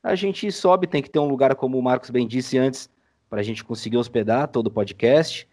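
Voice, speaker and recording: A man with a Brazilian accent speaks as a guest on a podcast. His voice sits at a middling pitch, and he explains things in a casual, conversational way. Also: normal speed, darkish tone. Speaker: podcast guest, audiodrama editor. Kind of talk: casual explanatory interview chat.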